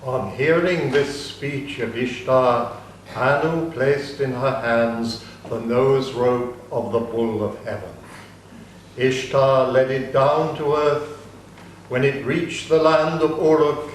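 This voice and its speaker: A man narrating an ancient epic in a dramatic reading, slow and solemn. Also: mid-range voice, slight background noise. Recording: echoey room, distant mic.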